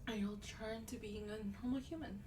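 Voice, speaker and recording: A woman playing a sweet, wealthy vampire in a soft-spoken ASMR roleplay, breathy and often near a whisper, gently coaxing with a slightly haughty air. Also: fairly high pitch, fairly even intonation, normal speed, far from the mic, noisy background, natural voice.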